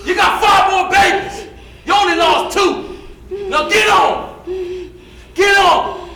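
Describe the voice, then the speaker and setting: A man's voice: extremely high pitch, fairly even intonation, slow, creaky, exaggerated voice. A man on stage playing a harsh, domineering slave seller, barking threatening orders. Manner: shouting, threatening. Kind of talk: stage play acting. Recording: distant, echoey, noisy hall with crowd.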